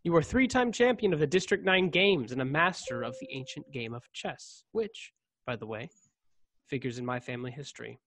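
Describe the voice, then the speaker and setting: A man plays a starship ensign in a sci-fi radio play. He is officious and condescending, speaking in clipped, pompous, formal phrases at a middling pitch. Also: fairly quick, a bit bright, no echo. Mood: accusing, superior.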